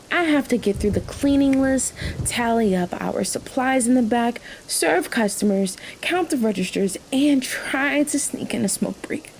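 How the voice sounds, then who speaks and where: A woman's voice: fairly high pitch, darkish tone, a little hiss or hum, poor audio. A woman playing a weary, sassy night-shift waitress in a radio play, wry and talkative. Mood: exasperated. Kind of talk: naturalistic radio play acting. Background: rain and thunderstorm ambience in a diner scene.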